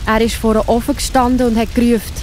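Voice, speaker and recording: A woman's voice: fairly high pitch, little pitch movement, rapid-fire, darkish tone, a little hiss or hum. A woman with a Swiss accent narrating a scripted Bible story for children.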